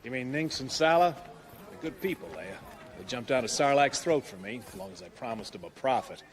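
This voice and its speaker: An actor playing a cocky, roguish smuggler pilot, brash and wisecracking, in a space-opera audio drama. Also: male voice, medium pitch, sing-song, brisk, natural voice. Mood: reassuring.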